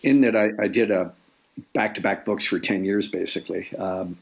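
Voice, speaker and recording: Male author guest, sixties, low creaky voice, hesitant conversational delivery. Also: fairly even intonation, poor audio. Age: 60s. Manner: hesitant, halting, often repeating words. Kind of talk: reflective interview conversation.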